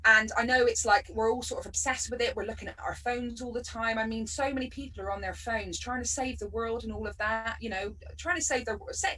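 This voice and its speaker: A woman's voice: fairly high pitch, steady tone, a little fast, hardly any pauses, bright, forceful tone, far from the mic, a little hiss or hum, low-quality recording. A woman from Bristol with a West Country accent, doing a chatty, sarcastic stand-up set over a video call. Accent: West Country English. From Bristol, England. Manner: chatty, sarcastic, bursts of laughter. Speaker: stand-up comedian.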